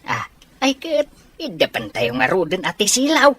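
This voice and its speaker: A woman's voice: fairly low pitch, huge pitch swings, moderate pace, a bit bright, breathy edge, heavily acted. A woman acting a chatty parent of a grown son in an Ilocano radio drama. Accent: Filipino, Ilocano. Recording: music behind the voice.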